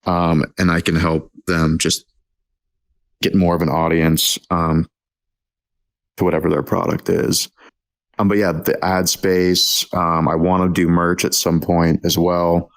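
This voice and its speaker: A man's voice: low-pitched, level tone, a little slow. A young man, talking as a podcast guest in a hesitant, casual way with many ums and restarts. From Texas. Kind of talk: casual interview chat.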